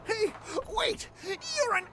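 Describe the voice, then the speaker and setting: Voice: extremely high pitch, expressive, takes it slowly, full character voice. A performer playing a desperate, pleading street beggar in a video game, with noise behind the voice. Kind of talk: scripted video game acting. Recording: noisy game ambience behind voice.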